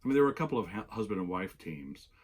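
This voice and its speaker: Low raspy guy, a bit hoarse, rambling vlog chat with lots of ums. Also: very animated, racing. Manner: rambling, many fillers and self-corrections. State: slightly hoarse after losing his voice. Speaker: knitting channel host. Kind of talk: casual solo vlog.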